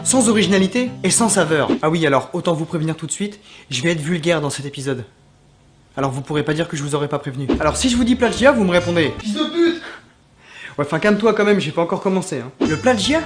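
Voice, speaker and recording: A man delivering a scripted comedic rant as a YouTube commentator, vulgar, sarcastic and mocking. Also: high-pitched. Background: background music.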